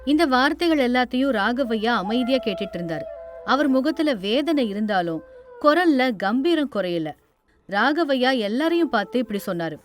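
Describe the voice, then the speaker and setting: High-pitched woman narrating a story. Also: expressive, moderate pace. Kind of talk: scripted moral story narration. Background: faint music.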